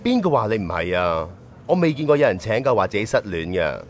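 An actor playing a genial, teasing senior company boss, smoothly persuasive, in a radio drama. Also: male voice, on the low side, big pitch swings, fairly quick. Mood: teasing, encouraging. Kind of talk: Cantonese radio drama acting.

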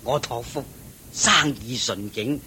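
An actor playing a fussy, boastful, wealthy old head of a household in a radio drama, with a Hong Kong Cantonese accent, sighing and chuckling. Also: male voice, slow pace, close to an everyday voice. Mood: proud, jovial. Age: older. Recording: noisy old radio drama, low rumble.

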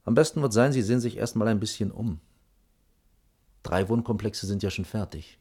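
An actor playing a stern, pedantic senior architect in a 1980s East German radio drama, dry, schoolmasterly and emphatic. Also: male voice, on the low side, moderate pace, mellow, slightly rough. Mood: dry, lecturing.

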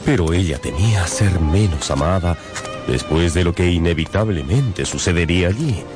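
A man's voice: sits low, animated, moderate pace. A man narrating a radio drama in a dramatic, scripted storytelling style. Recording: music behind the voice.

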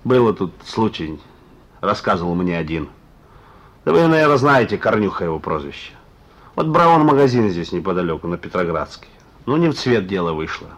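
A man's voice: deep voice, big pitch swings, brisk, exaggerated voice. A male actor in a radio play as a sullen, wry young thief, curt and slangy, on a muffled recording. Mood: wry, needling. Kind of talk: Russian radio play acting.

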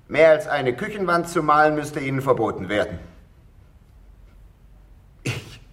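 A man's voice: a little high, bright, forceful tone, breathy edge, exaggerated voice. An actor in a radio play playing a pompous, paranoid, naive young man who fancies himself an artist, swinging between grandiose ranting and conspiratorial confiding. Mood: indignant, wounded. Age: young adult. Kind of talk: 1980s German radio play acting.

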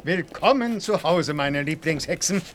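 A man's voice: a little high, animated, measured pace, background noise. An actor playing a mild, affectionate, slightly hapless father in a children's audio play. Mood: fond. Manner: affectionate, a little hesitant.

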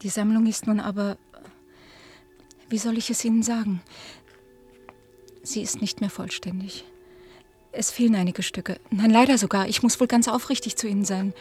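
An actress playing an ashamed, pleading middle-aged daughter in a radio drama, confessing in a hushed voice. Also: female voice, mid-range voice, steady tone, normal speed, pauses often, slightly rough, faint music behind, lightly acted. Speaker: the collector's daughter.

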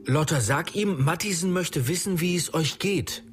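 A woman's voice: low-pitched, normal speed, faint music behind, lightly acted. A woman in a German radio drama playing an insistent questioner who presses for information. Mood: probing.

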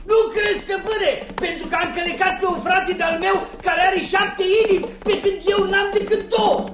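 A shrill, very high voice of a cheeky magic horse in an old radio play. It mocks its cruel master with sly glee. The tape is echoey and full of hiss. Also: male voice, steady tone. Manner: sly and mocking toward its master. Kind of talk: 1960s radio drama acting.

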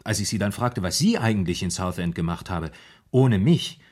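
An actor in a 1970s German radio play, playing a troubled, brooding man confessing his fear of madness in halting, dramatic storytelling. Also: low voice, animated, a little mellow. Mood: wretched, aggrieved.

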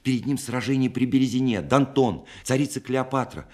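An actor playing a lonely, romantic young dreamer in a radio play, speaking in an ornate, bookish, impassioned way with dramatic pauses and a husky voice. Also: male voice, mid-range voice, darkish tone, natural voice. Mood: rapt, wistful. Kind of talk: literary radio play acting, monologue.

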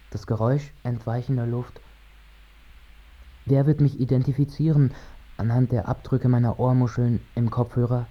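A male moon-bound narrator in a literary radio play. He declaims in an incantatory way, circling back on phrases, with an ordinary mid-range voice. The sound is slightly dull and band-limited. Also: medium pitch. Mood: hushed, insistent.

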